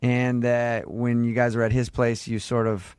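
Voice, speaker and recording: A man's voice: medium pitch, level tone, measured pace, darkish tone, clean tone, no room sound, clean studio sound. A man hosting a radio show, talking in a casual, teasing way with morning-show banter. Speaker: radio host. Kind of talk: morning radio banter and prank-style phone call.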